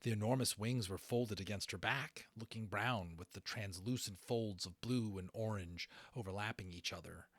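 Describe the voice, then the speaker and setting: A man narrating a fantasy audiobook in an animated storytelling style, with informal asides. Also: lowish voice, normal speed, few pauses, dry recording.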